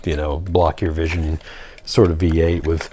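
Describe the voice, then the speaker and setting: A man's voice: rumbling low, little pitch movement, normal speed, mellow. A man presenting a hobby video in a casual, nostalgic show-and-tell style, rambling and thinking aloud as he goes. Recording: clear background noise with clicks.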